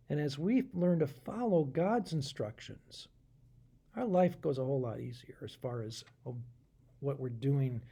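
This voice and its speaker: Animated, husky-voiced man reading a children's story. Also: medium pitch. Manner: animated, asks the listeners questions. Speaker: pastor, storyteller. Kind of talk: expressive children's storybook read-aloud.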